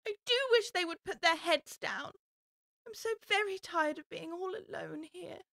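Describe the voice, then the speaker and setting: An actress playing a young girl in an audiobook, polite and easily upset, earnestly questioning herself out loud. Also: very high voice, measured pace, vocal fry, no echo, heavily acted. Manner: earnest self-questioning, talks to herself aloud. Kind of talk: full-cast audiobook storybook acting.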